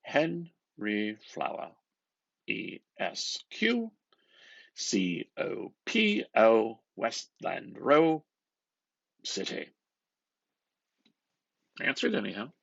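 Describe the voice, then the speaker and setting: A man gives an expressive, theatrical reading of a literary novel, moving between murmured asides and louder phrases. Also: fairly low pitch, extremely slow, creaky, long pauses, husky, no echo, muffled recording. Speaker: reader of a novel.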